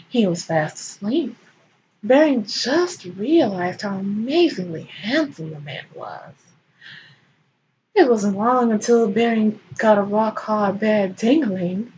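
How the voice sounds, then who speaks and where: A woman's voice: fairly high pitch, darkish tone. A woman hosting a fan fiction show, reading aloud theatrically and commenting casually with amusement. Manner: theatrical, breaking into amused asides. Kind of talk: dramatic storytelling reading with casual asides. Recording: muffled, noisy, distant microphone.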